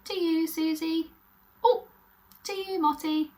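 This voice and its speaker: A woman voicing playful, excited little woodland animal girls for a children's puppet story, in a bubbly manner. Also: very high-pitched, slow pace, voice pushed up.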